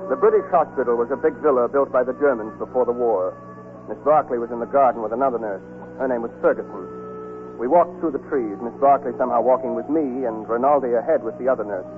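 A man hosting and narrating a scripted 1940s radio drama. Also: medium pitch. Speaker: host and narrator. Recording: tinny, muffled 1940s radio broadcast.

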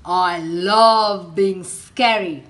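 A woman voicing a boastful male crocodile who loves being scary, with slow, booming boasts. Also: fairly bright tone.

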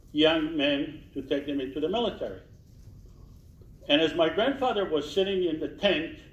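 Man, 60s, panel speaker, emphatic and deliberate; distant handheld-mic sound, dull and lo-fi. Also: on the high side, echoey room. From Israel. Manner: earnest, emphatic, repeats key phrases. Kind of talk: public talk at a community panel. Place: small meeting room indoors. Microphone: handheld mic, filmed from a distance.

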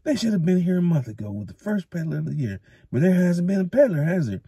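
A man's voice: high-pitched, sing-song, quick pace, mellow, husky, dry recording, studio quality, pitched above the performer's own voice, heavily acted. A man voicing a sour, complaining old male thatcher in a storybook reading, pushing his voice well above his own.

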